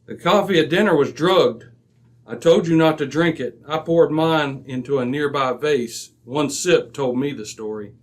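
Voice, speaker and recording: A man playing a commanding, impatient detective in an old-time radio drama, crisp and didactic. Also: a little high, moderate pace, close to an everyday voice. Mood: assured, deductive. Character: commanding, razor-sharp, impatient male detective.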